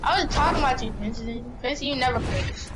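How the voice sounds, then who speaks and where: A woman's voice: high voice, animated, very noisy. A woman chatting casually during a video game, bossing and teasing friends over voice chat. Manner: bossy, bantering, quick to accuse.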